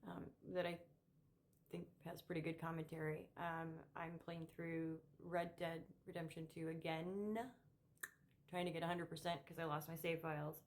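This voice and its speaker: A middle-aged woman giving an unscripted opinion monologue as a vlogger, emphatic and halting, often repeating words as she goes. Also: sits low, little pitch movement. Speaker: political commentary vlogger.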